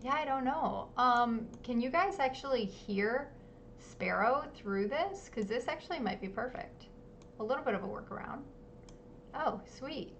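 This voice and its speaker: A woman hosting a live-streamed artist interview, talking casually with lots of ums and quick apologies. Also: fairly high pitch, lively intonation, fairly bright tone, a little hiss or hum. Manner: chatty, many ums, apologetic. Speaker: livestream interview host. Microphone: home streaming setup.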